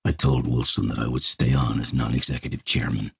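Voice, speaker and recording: A bitter old tycoon, voiced by a man, low and growling, with a gravelly grain and a breathy rasp. He drags out his grumbles slowly, close and dry to the mic. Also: level tone, no room sound, heavily acted. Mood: grumbling. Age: older.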